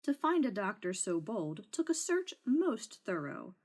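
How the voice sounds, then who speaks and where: A woman narrating a children's storybook aloud in an expressive read-aloud style. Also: mid-range voice, a little slow. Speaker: storybook reader. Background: music.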